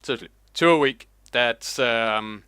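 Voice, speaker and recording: Slow-talking man, bright voice. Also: medium pitch, clear, resonant tone, no echo, studio quality. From Denmark. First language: Danish. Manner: rambling, unhurried, chatty. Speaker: host. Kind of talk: casual reaction vlog commentary. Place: home, alone at a computer.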